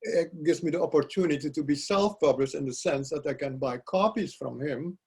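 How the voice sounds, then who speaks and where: An older man whose first language is Dutch, a poet being interviewed, speaking reflectively and pausing often to search for words. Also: on the high side, darkish tone, husky. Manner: halting, searching for words, frequent restarts. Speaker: poet guest.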